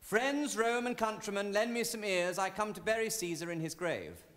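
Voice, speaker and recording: A man's voice: sits high, animated, normal speed, a crowd in the background, pitched above the performer's own voice. A man voicing an earnest, awkward English schoolboy in a humorous story reading. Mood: declaiming. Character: smitten, earnest English schoolboy, bluffing along. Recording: hall reverb, noisy.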